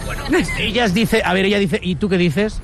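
A man's voice: high-pitched, normal speed, gravelly, over music, a crowd in the background. A man, interviewing people on a busy street as a chatty, joking radio reporter. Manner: chatty, joking, many fillers. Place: outdoors on a busy city street.

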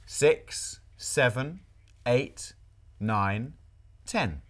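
Female lesson host, very deep voice, lively intonation, clear deliberate delivery. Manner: clear, deliberate model pronunciation. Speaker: language teacher host. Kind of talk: bilingual English lesson presenting.

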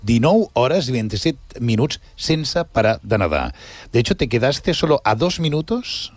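A man's voice: medium pitch, very animated, a little slow, no room sound. A man from hosting a conversational interview in an engaged, amazed manner. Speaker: interview host. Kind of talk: conversational interview, switching between two languages.

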